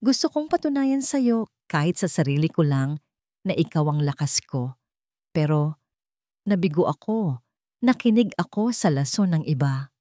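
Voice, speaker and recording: A woman's voice: low-pitched, big pitch swings, a little slow, pauses often, dark tone, no room sound, clean studio sound. A woman with a Filipino accent voicing a humbled young billionaire in a romance story, earnest and pleading. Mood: apologetic. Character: remorseful, humbled young billionaire man in love. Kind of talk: romance radio-drama story dialogue.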